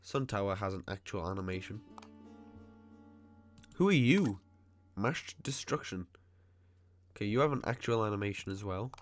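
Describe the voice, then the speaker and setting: A man's voice: fairly low pitch, lively intonation, faint music behind. A man with a cold and a blocked, nasal voice, chatting casually while commenting on a game he is playing. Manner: nitpicking, chatty, quick asides. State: stuffy nose from a cold. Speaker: gaming video host.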